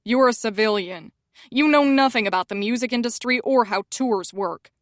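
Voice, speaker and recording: A woman's voice: a little high, normal speed, dry recording, studio quality, lightly acted. A woman voicing a panicky male publicist with a grating whine and a frantic, accusing way of talking. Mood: whining.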